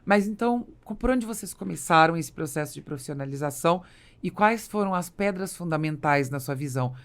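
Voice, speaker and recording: A Brazilian woman with a creaky voice hosting a conversational business podcast, explaining and affirming as she interviews. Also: lowish voice, animated, brisk. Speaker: podcast host and business consultant.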